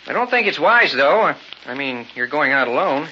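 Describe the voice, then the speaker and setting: An actor playing a concerned hotel night clerk, folksy and a little hesitant, in an old radio horror drama. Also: male voice, on the high side, very animated, moderate pace. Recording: muffled, hissy old radio broadcast.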